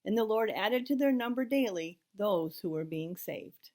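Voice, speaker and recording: A woman addressing a congregation as a scripture reader, with a plain, measured delivery. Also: medium pitch, expressive, a little mellow. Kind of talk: reading aloud and informal church greeting.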